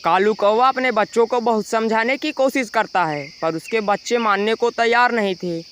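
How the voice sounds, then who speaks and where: A man narrating a scripted animated children's moral story. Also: high-pitched, moderate pace, a little hiss or hum.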